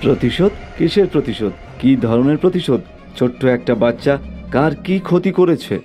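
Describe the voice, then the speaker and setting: Casting: a man as a stern, demanding ghost-banisher for an animated horror story, pitch pushed up, dark timbre. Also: normal speed, faint music behind, lightly acted. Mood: challenging. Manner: forceful commands and repeated questions. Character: commanding male village exorcist confronting a spirit. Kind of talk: scripted horror cartoon story acting.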